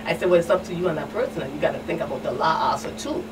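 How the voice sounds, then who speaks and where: A woman's voice: low voice, very fast. A woman with a Caribbean accent answering as a talk show guest, animatedly retelling exchanges and repeating phrases. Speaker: guest expert, community outreach specialist. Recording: distant mic, clear background noise.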